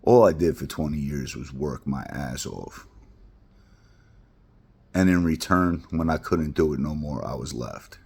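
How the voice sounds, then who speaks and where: A man's voice: a bass voice, unhurried, vocal fry, a little mellow, husky. A middle-aged man from Queens, talking bluntly and heartfelt in a rambling personal monologue. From Queens, New York. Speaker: vlogger.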